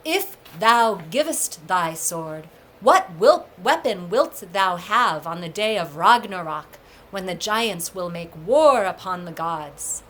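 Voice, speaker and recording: A woman's voice: lowish voice, lively intonation, takes it slowly, fairly bright tone, poor audio. A woman reading the part of a wise old father god, solemn and admonishing, in archaic storybook language. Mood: urgent warning.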